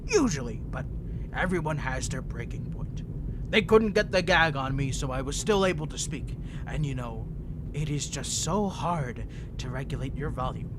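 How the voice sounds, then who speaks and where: A man's voice: mid-range voice, expressive, noisy background, close to an everyday voice. Man playing a manic, swooping, taunting vampire prisoner. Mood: teasing, bored. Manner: taunting, teasing, theatrical, giggling, drawn-out delivery. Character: insane centuries-old male vampire prisoner, playful, menacing, bored. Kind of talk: theatrical ASMR roleplay monologue.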